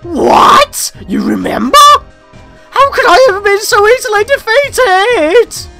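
A woman voicing an excitable, overdramatic little fiery monster in a game. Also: extremely high pitch, slow, creaky, faint music behind, voice pushed up, full character voice. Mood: excited, indignant. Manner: exclaiming, melodramatic.

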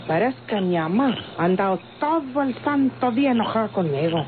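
A woman's voice: mid-range voice, animated, a little fast, noisy background, lightly acted. An actress playing an evasive, anxious young woman in an old radio soap, speaking in a subdued way. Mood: uneasy. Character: evasive, anxious young daughter with a secret lover. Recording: tinny old radio recording.

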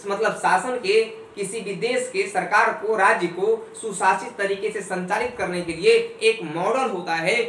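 A man's voice: high voice, bright, forceful tone, distant mic, a little hiss or hum. Young male teacher in an echoey room. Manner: repeats key points and asks the class rhetorical questions; calls viewers dear friends. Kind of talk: whiteboard exam-prep lesson. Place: small room in front of a whiteboard. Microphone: camera mic a few steps away.

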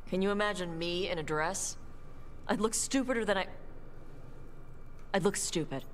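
An actress playing an awkward, blunt young horned warrior in a video game, speaking haltingly and defensively. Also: female voice, mid-range voice, slight background noise, lightly acted. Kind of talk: video game character dialogue.

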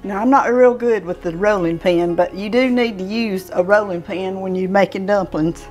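A woman's voice: mid-range voice, normal speed, a little mellow, soft background music. Southern US woman in her sixties, cooking host. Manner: folksy, explaining step by step while working. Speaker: home cooking show host. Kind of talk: informal cooking demonstration. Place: home kitchen, indoors. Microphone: clip-on lapel mic.

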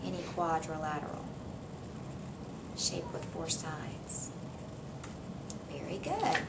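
A woman's voice: sits low, very animated, slow, vocal fry. A middle-aged woman reads a storybook aloud to a young child as a teacher, encouraging and prompting with questions. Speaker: teacher reading aloud to a kindergartener. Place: small indoor classroom reading corner. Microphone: camera a few meters away. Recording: noisy room, distant camera microphone.

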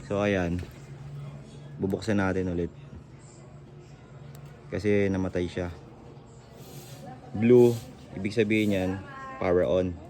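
A man's voice: sits low, steady tone, slow pace, pauses often, warm tone, a little hiss or hum. A man vlogging casually as he rides a motorcycle, talking loosely through a helmet intercom headset. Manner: rambling, loose and chatty with fillers. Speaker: motovlogger. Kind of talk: casual how-to vlog, chatting while riding. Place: outdoors on a motorcycle. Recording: dull lo-fi intercom audio.

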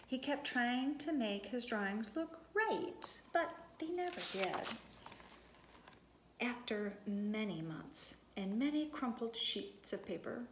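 A woman preschool teacher reading a story aloud to young children, expressive and deliberate, speaking to them directly. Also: on the high side. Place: empty classroom. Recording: echoey room with background noise.

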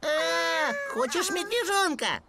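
A squeaky fussy green sand fairy. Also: female voice, lively intonation, slow, gravelly, very noisy, full character voice. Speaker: voice actor in animated series dub. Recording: studio voice with loud music behind.

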